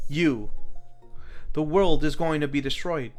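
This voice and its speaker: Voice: mid-range voice, measured pace, faint music behind, close to an everyday voice. A narrator voicing a menacing male mad-scientist villain with grave, grandiose pronouncements, as in a comedic film recap. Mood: ominous.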